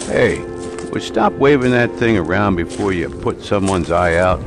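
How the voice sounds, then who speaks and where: Sly, folksy old loner played by a man, old-time radio horror drama, animated intonation, music underneath. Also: low voice, moderate pace. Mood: mild, evasive. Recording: music behind the voice.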